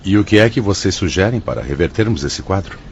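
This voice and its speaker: Brazilian radio play spirit director, kindly but commanding, very animated pitch, muffled recording w faint noise. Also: male voice, quick pace. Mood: courteous, grave. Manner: formal, declamatory. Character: solemn spirit director of an afterlife institute, authoritative, benevolent. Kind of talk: Brazilian religious radio drama acting. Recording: dull lo-fi audio, faint noise.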